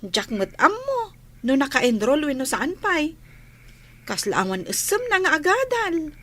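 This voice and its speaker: A woman playing a concerned young village friend in a radio drama, conversational and drawn-out, with a Filipino accent. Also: high voice, wildly swooping pitch, pushed and bright, full character voice. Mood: casual concern.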